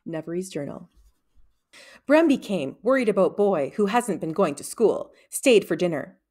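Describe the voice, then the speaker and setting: A woman reading a storybook aloud in expressive narration. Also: mid-range voice, a little slow. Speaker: audiobook reader.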